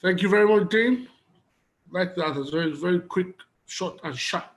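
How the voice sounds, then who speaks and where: A man's voice: sits high, normal speed. A man with a Nigerian accent, moderating an online panel and introducing guests in courteous, formal phrases. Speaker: conference moderator. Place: online video conference.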